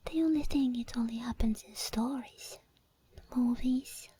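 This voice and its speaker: A woman voicing a possessive, seductive sleep paralysis demon in a breathy whispered ASMR roleplay, slow and intimate. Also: on the high side, mellow. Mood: taunting, intimate. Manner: slow, intimate, lingering delivery.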